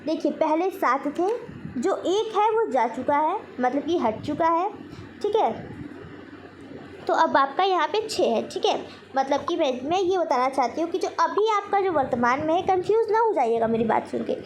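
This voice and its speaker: A woman's voice: high voice, lively intonation, normal speed, slight background noise, rough recording. A woman teacher giving a general knowledge lesson, posing questions and then answering them herself in an instructional way. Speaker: quiz teacher.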